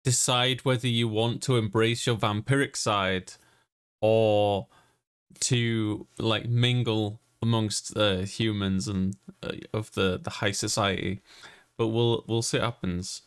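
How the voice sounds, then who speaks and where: A man's voice: fairly low pitch, steady tone, takes it slowly, smooth. A young man streaming a game, chatting casually and informally as he muses aloud into a close mic. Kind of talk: casual chat, let's play commentary.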